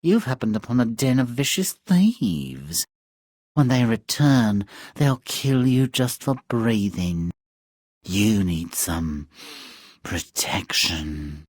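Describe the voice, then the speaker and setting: A man's voice: on the low side, animated, slow pace, darkish tone, no echo, studio quality. A man voicing a sly, leering old woman of a robbers' den in a fairy tale game, drawling suggestively. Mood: ominous.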